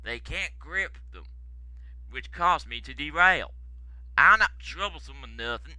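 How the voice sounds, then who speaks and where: Train story role: folksy American engine, male actor, earnest, sharp bright tone, close dry sound. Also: a little high, lively intonation, moderate pace, smooth, dry recording. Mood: mournful. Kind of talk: character voice in a children's train story.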